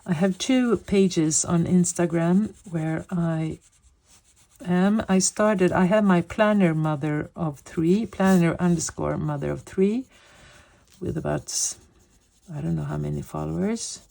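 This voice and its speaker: A woman's voice: fairly low pitch, slow pace, gravelly, mellow. A woman in her late fifties from Sweden, speaking English as her second language, chatting casually and searching for words while she colors. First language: Swedish. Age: 50s. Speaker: coloring vlogger.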